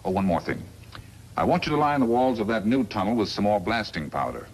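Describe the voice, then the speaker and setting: An actor playing a smug, calculating crooked lawyer and boss in a 1950s radio western, with an insinuating manner. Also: male voice, lowish voice, big pitch swings, fairly quick. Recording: noisy vintage 1950s radio recording.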